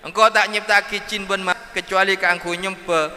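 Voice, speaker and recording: A man giving a sing-song, lively religious lecture at a mosque event. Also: high-pitched, normal speed, long reverb tail. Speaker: preacher.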